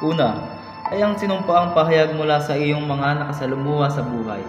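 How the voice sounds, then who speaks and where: A man's voice: fairly high pitch, a little fast, dull audio, natural voice. A man playing an earnest, commanding figure of colonial times in an amateur radio drama. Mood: formal. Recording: echoey hall, music behind.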